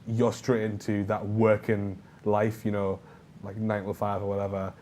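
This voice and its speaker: A man's voice: on the low side, monotone, normal speed, darkish tone, slight background noise. A young man with a North East English accent, chatting casually as a student show co-host. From Northern England. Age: young adult. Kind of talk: casual chat.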